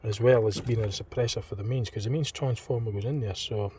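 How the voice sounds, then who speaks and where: A man thinking aloud in an unscripted hands-on demonstration, speaking in a hesitant, tentative, halting way. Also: fairly low pitch, mellow. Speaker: hobbyist demonstrator in a homemade teardown video. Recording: distant mic, clearly noisy homemade recording.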